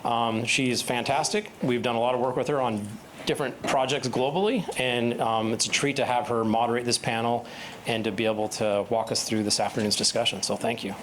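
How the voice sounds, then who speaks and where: A man introduces a panel at a conference with loose phrasing and frequent fillers. His voice is of middle pitch. Faint room noise sits behind him. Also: steady tone, brisk. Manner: loose, searching for words, many fillers. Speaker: event host introducing a panel.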